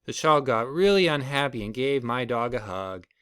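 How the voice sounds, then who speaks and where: A man's voice: medium pitch, animated, normal speed, a bit bright, smooth, no echo, studio quality. A man reading a children's storybook aloud as the storyteller.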